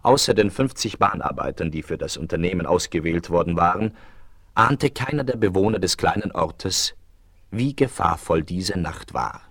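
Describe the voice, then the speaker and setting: A man's voice: sits low, animated, normal speed, a bit bright, dry recording, studio quality. A man narrating dramatically from a script, as the storyteller of a German radio play. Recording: noisy 1970s vinyl rip.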